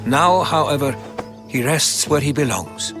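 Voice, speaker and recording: An actor playing a learned, secretive older Greek historian in a video game, speaking in a measured storytelling way. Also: male voice, a little high, close to an everyday voice. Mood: solemn, reflective. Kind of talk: dramatic video game dialogue. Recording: game music under the voice.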